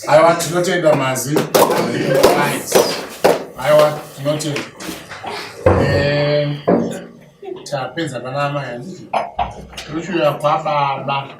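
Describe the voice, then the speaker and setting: A man's voice: on the high side, steady tone, slow pace, long pauses. Guy acting as zimbabwean family elder going thru demands item by item, roomy echo, noisy recording. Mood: measured. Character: Shona elder negotiating bride price, measured and businesslike. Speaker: negotiator for the bride's family. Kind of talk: dramatized traditional negotiation, conversational. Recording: echoey, distant mic, clear background noise.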